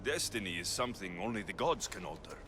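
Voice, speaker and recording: A man's voice: lowish voice, lively intonation, fast talker, background noise, lightly acted. A man playing a determined young mercenary hero of ancient Greece in a video game, blunt and resolute. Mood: guarded, demanding. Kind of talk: video game cutscene acting. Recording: music behind the voice.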